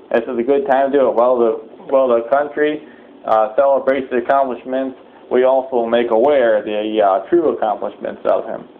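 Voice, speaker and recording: Male preacher, medium pitch, solemn and exhorting but halting; narrow telephone-grade audio. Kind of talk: sermon-like address with announcements. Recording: thin phone-like sound, clear background noise.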